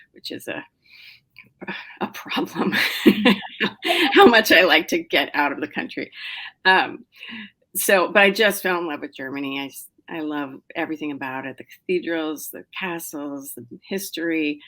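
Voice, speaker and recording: A woman's voice: low voice, a bit bright. A middle-aged woman novelist chatting as a podcast guest, with lots of fillers, restarts and small laughs. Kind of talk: casual interview chat.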